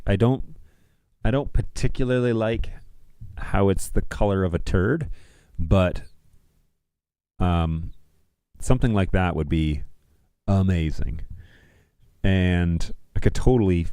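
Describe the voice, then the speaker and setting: A man's voice: on the low side, mellow, no room sound. A middle-aged man hosting a livestream, chatting informally and rambling as he thinks aloud while browsing. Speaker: livestream host.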